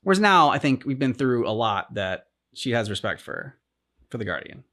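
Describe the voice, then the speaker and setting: A man in a casual discussion, talking as a reaction show host in a halting, rambling way as he thinks aloud. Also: mid-range voice, very animated, bright, forceful tone. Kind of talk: casual discussion of video game story.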